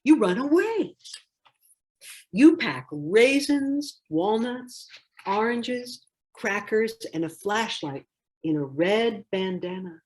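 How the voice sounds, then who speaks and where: A woman reading a picture book aloud expressively, with deliberate, phrase-by-phrase delivery. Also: medium pitch, sing-song, a little hiss or hum. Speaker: storybook reader.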